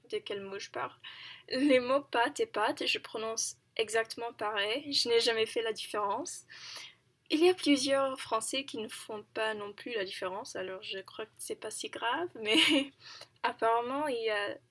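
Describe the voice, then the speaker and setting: Slow, hesitant young woman practicing languages. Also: fairly high pitch, fairly bright tone. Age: 20s. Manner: hesitant, halting, laughs often; self-correcting, searching for words. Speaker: language learner vlogger. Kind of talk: casual practice monologue to camera.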